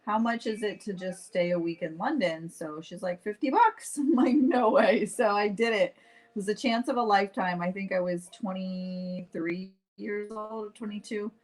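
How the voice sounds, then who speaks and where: A middle-aged woman chatting casually to livestream viewers as she tells a personal story, acting out bits of dialogue in an animated, informal way. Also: medium pitch, moderate pace, poor audio. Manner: chatty, animated retelling with acted-out dialogue and frequent "like"; addresses viewers directly. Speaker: host painting live while telling stories.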